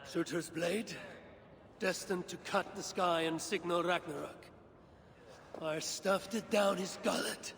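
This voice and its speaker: An actor playing a vengeful, weary Norse father god in a video game, speaking gravely and deliberately with a gravelly voice. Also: high voice, steady tone, a bit bright, in a large hall, a little hiss or hum, exaggerated voice. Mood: grim, commanding. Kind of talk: dramatic cinematic video game acting.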